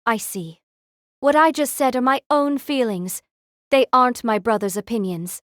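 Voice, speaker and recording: Woman doing a cold anime girl, kinda high voice, short guarded answers, dry recording. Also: lively intonation, moderate pace, dry recording, natural voice. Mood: cold, displeased. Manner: curt, restrained displeasure. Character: cool, guarded young female student, curt. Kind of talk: anime-style audio drama acting.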